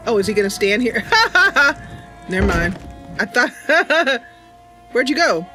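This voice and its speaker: A woman's voice: on the high side, big pitch swings, slow pace, over music. A woman giving casual, playful commentary as host of a gaming stream. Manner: giggly, playful. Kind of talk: casual live gameplay commentary.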